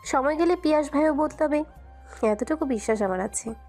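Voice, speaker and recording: A woman's voice: high voice, animated, normal speed, darkish tone, faint music behind. A woman playing a resigned, selfless young woman accepting lost love in an audio romance. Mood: wistful, resigned. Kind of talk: audio story acting.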